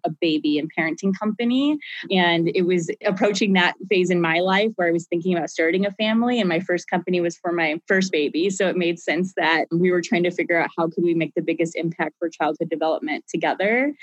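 A woman in her thirties, rambling through her career story and laughing often. Her voice sits a little low, and the sound is dry and close with no room echo. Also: lo-fi sound. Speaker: co-founder and guest. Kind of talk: casual interview answer.

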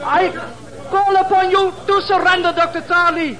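High-voiced, earnest colonel. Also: male voice, steady tone, normal speed, a loud crowd. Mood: urgent, agitated. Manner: formal, emphatic. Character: earnest, excitable Portuguese police colonel. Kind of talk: 1950s radio drama acting. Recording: old radio recording with hiss.

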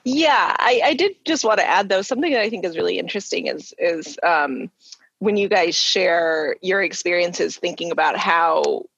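A woman frankly telling a personal story in casual chat, earnest and quick to laugh. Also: fairly low pitch, vocal fry, fairly bright tone, slightly rough, no echo, lo-fi sound.

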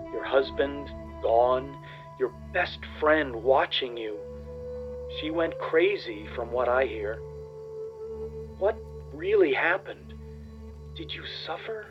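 A man playing a nostalgic, earnest small-town man who speaks in a halting, wondering way in a radio play. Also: fairly high pitch, lo-fi sound. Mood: sombre, tender. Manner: halting, wondering, repeats himself.

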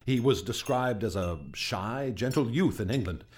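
An actor playing a troubled gentleman recounting frightening events in a radio drama, earnest and hesitant, stammering when unsettled. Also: medium pitch, normal speed, close to an everyday voice. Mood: grave. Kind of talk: radio drama acting, halting testimony.

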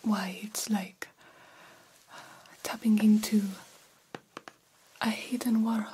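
A woman voicing a formal, grandiose vampire doctor in a breathy ASMR whisper, slowly and theatrically mentoring a fledgling. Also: medium pitch, fairly even intonation, mellow, a little hiss or hum, natural voice. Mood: coaxing, instructive. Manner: slow, deliberate, formal and theatrical. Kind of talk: ASMR roleplay.

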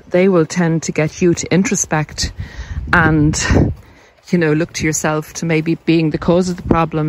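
An Irish woman with a slightly low voice speaks casually as she walks outdoors. She explains things in a reflective, informal way, pausing between thoughts as she addresses her viewers. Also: slight background noise, low-quality recording. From Ireland. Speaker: video host, narcissism coach. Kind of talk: casual chat to camera while walking. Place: outdoors on a country walk.

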